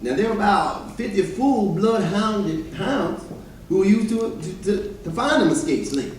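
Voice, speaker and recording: A man's voice: sits high, animated, a little slow. A man playing a formerly enslaved man who recounts escapes in folksy dialect, in a readers theater performance. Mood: storytelling. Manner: folksy dialect storytelling with repeated "now". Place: church hall, recorded from the audience area. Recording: distant mic in echoey hall, background noise.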